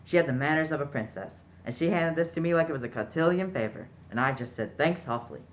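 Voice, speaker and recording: A man's voice: fairly high pitch, fast talker, close to an everyday voice, low-quality recording. A man playing a genial, naive upper-class young suitor in a radio play, jolly and self-deprecating. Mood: sheepish, genial. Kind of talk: stage-style radio play acting.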